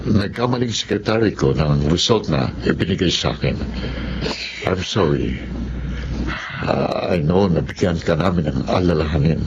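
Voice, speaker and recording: Grave Filipino doctor, very low male voice, measured, radio drama, muffled and noisy. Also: expressive. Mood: apologetic. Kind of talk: Filipino radio-style melodrama acting. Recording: muffled lo-fi audio, clear background noise.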